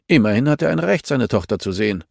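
A man's voice: medium pitch, expressive, quick pace, vocal fry, no echo, clean studio sound, voice pushed up. A man voicing a stern, reluctant male judge in an audiobook, speaking in a firm, admonishing way. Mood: matter-of-fact.

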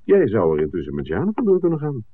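A man's voice: fairly low pitch, huge pitch swings, fast. An actor playing a worldly, principled man with a wry, bantering way, teasing his friend in a 1960s Dutch radio drama. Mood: wry, resigned. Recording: muffled, noisy old radio drama recording.

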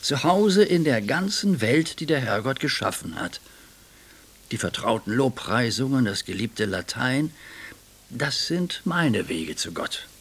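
An actor in a radio play voicing an educated, pious but doubting gentleman with a husky voice and an earnest, questioning manner. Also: mid-range voice, big pitch swings, unhurried, full character voice. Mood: devout, warm.

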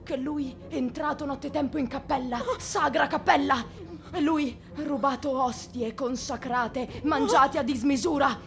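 An actress playing an excitable, doting but stern old spinster in theatrical, stylized radio drama, swooping between coos and cries. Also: female voice, high voice, unhurried, vocal fry, exaggerated voice. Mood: scandalized.

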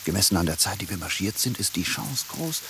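An actor playing a determined, gruff but placid soldier leading his comrade along in a radio play. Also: low-pitched, expressive, fairly quick. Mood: calm, reassuring. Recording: hissy, noisy radio play scene with rain.

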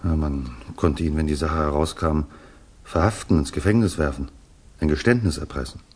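An actor playing a dry, matter-of-fact listener discussing a tale in a 1960s German radio play, with a husky voice. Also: male voice, extremely low pitch, moderate pace. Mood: dry, musing.

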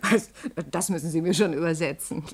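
An actress in a science-fiction radio play playing a skeptical, sharp-tongued radio journalist with a brisk, sardonic, challenging manner. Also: female voice, deep voice, fairly even intonation. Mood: skeptical, probing.

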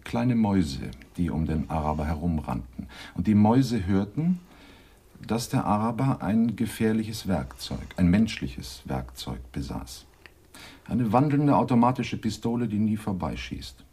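An actor playing a sly criminal informant in a German radio drama, telling veiled riddles in a deliberate, cryptic storytelling way. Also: male voice, on the low side, sing-song, warm tone, breathy edge, full character voice. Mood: sly, mysterious.

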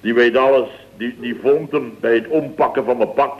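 An actor playing a blustering, flustered middle-aged boss in an old radio comedy, stammering, repeating himself and grumbling. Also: male voice, mid-range voice, steady tone, moderate pace, lightly acted. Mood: indignant storytelling. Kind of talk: 1970s radio comedy acting. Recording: dull, hissy vintage radio recording.

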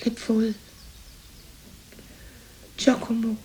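An older woman playing an aging, resigned stage performer and mother in a German radio drama, speaking haltingly in short phrases. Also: a little high, monotone, warm tone. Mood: resigned, wistful. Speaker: actress in a radio play. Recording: radio play with faint background noise.

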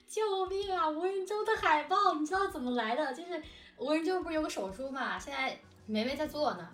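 A woman's voice: high voice, expressive, fairly quick, fairly bright tone, echoey room, far from the mic, soft background music. A woman chatting casually and animatedly, giggly and talkative, as one of an audio drama team. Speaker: audio drama production team member, co-host. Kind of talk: casual behind-the-scenes chat.

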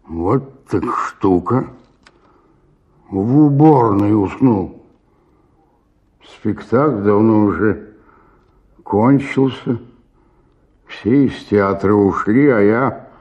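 A man's voice: on the low side, heavily acted. A man playing a boozy, self-mocking comic actor of sixty-eight in a radio play, theatrical and grumbling, on a slightly dull recording. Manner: theatrical muttering that swings into hollering.

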